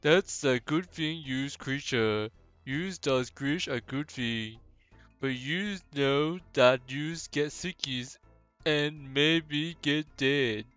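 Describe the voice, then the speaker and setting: A man's voice: mid-range voice, a bit bright, clean tone, dry recording, muffled recording, soft background music, voice pushed up. A man voicing a simple-minded, earnest ogre in a video game, warning people in childlike broken English. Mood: earnest, concerned. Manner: slow, childlike broken grammar. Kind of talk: hobby game voice acting.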